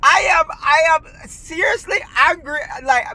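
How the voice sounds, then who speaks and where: A man's voice: extremely high pitch, a little slow, sharp tone, no room sound, rough recording. A man with a Jamaican accent, hosting his own channel and ranting in a heated, rambling way with lots of restarts. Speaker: gaming channel host. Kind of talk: casual ranting commentary to camera.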